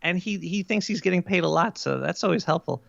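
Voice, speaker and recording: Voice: medium pitch, a little fast, gravelly, fairly bright tone, dry recording, lo-fi sound. A performer voicing a paranoid talking cartoon rat from the New York sewers, hesitant and wry, chatting in character as a podcast guest. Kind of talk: improvised in-character interview chat.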